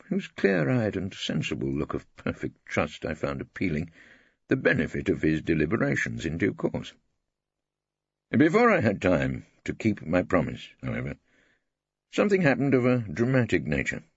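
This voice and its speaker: A man playing a witty, weary old English barrister who narrates in a wry, pompous, husky voice. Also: sits low, no echo, dull audio, heavily acted. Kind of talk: scripted first-person audiobook narration.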